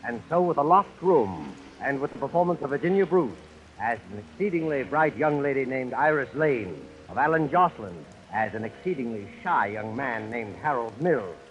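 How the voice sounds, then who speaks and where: A man's voice: mid-range voice, expressive, moderate pace, a little hiss or hum. A man announcing a suspense drama in formal, dramatic 1940s radio style. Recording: dull vintage radio recording. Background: music.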